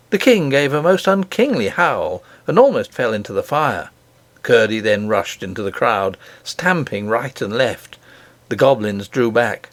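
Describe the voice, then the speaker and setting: Very animated male storybook narrator. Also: medium pitch, no echo. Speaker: audiobook narrator. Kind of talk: scripted children's storybook narration.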